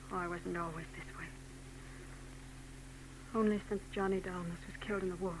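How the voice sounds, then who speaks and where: A woman's voice: mid-range voice, lightly acted, rough recording. A woman playing a secretive, melancholy wife. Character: troubled young married woman, secretive and melancholy. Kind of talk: 1940s radio acting. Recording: old radio broadcast with hum.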